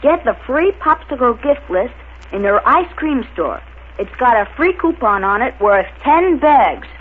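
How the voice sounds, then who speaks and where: A performer playing an eager, boyish kid giving an excited, slangy 1930s radio sales pitch. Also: unhurried. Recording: tinny, noisy old radio broadcast recording.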